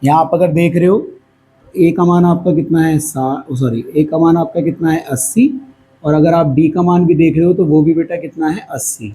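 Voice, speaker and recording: A man's voice: fairly high pitch, steady tone, normal speed, warm tone, rough recording. A male maths teacher, teaching a class lesson at a whiteboard. He is patient and keeps asking questions, then answers them himself. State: hoarse. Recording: faint background noise.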